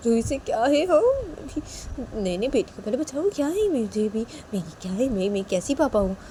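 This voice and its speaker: A woman's voice: lowish voice, steady tone, moderate pace, mellow, a little hiss or hum, natural voice. A woman voicing a timid young father who pleads and stammers anxiously. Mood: panicky.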